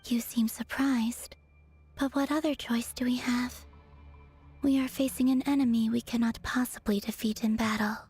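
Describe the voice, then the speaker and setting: An actress voicing a gentle, solemn young moon goddess in a video game, breathy and calm, with measured, formal speech. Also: female voice, on the high side, soft background music. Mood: resigned. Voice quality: breathy, whispery. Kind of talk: video game character acting.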